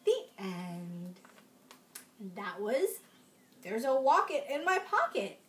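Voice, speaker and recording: A young adult woman reading aloud at a children's storytime, expressive and sing-song, with little asides to young listeners. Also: on the high side, takes it slowly, faint music behind. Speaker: children's storytime reader in princess costume. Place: small room at home, indoors.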